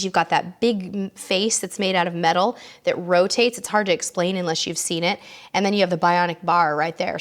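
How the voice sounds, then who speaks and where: A middle-aged woman talking casually to her viewers on a live stream from a desk microphone. Her voice sounds hoarse and scratchy, as if she is losing it, and she keeps clearing her throat. She stays chatty and friendly in a mid-range voice. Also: medium pitch. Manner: chatty, warm toward viewers, reads out comments. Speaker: cruise show host.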